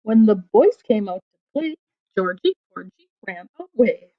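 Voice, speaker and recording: A woman reading rhymes aloud to children like a teacher, in an expressive, deliberate, sing-song storytelling delivery, with dull lo-fi sound. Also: mid-range voice.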